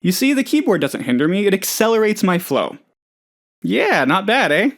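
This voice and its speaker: An oddball male office worker for a comedic game. His voice sits on the high side and leaps around with animated intonation. He is excitable and scatterbrained, rambling with total earnestness about absurd things. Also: moderate pace, full character voice. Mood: cheerful, eager. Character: eccentric, eager, scatterbrained male office colleague, cheerfully odd. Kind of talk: comedic video game character dialogue.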